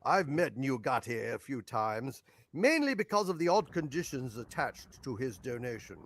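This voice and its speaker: A man voicing a weary, grave older gentleman who runs a museum, for an audiobook. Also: mid-range voice, big pitch swings, normal speed, no echo. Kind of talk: audiobook character voice.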